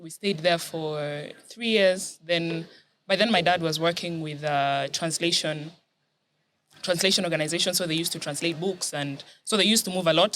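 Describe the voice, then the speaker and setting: A woman's voice: lowish voice, a bit bright. A young woman from Kenya telling her life story as a guest in a casual chat, laughing often.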